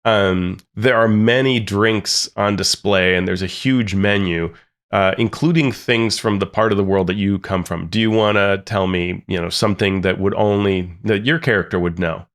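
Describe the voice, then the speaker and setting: A man's voice: on the low side, steady tone, normal speed, a bit bright. A man co-hosting a podcast, chatting casually and thoughtfully, often repeating words as he thinks. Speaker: podcast co-host. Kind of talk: casual chat about tabletop games.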